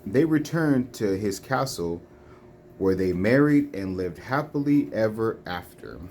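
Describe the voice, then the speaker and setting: A woman reading children's storybooks aloud in a deliberate, phrase-by-phrase way. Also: a bass voice, animated, dark tone. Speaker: storybook reader. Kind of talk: children's read-along narration. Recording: faint background noise, home recording.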